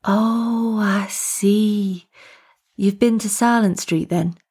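A woman's voice: mid-range voice, steady tone, drawn-out words, mellow, breathy edge, pitched above the performer's own voice. A woman voicing a casual, curious young female university student in storybook dialogue, offhand and musing.

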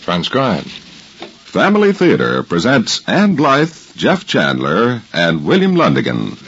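A man's voice: fairly low pitch, wildly swooping pitch, slow, noisy background. A man announcing formally and emphatically in a scripted 1950s radio style. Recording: muffled old radio recording, music behind.

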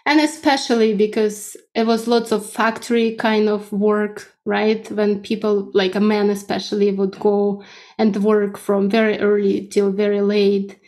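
A woman's voice: mid-range voice, flat delivery, measured pace, darkish tone. A woman in her thirties with a Russian accent, answering conversationally as a podcast guest and often checking in as she explains. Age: 30s.

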